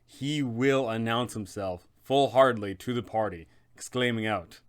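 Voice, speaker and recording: A man's voice: medium pitch, normal speed, clean tone. A man hosting a game master's guide, narrating in an emphatic, conversational explainer style.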